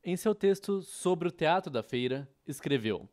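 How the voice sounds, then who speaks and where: A man's voice: fairly high pitch, lively intonation, a little slow, darkish tone. A man with a Brazilian accent presenting an educational course in scripted narration. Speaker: educational presenter dubbing a theatre history course.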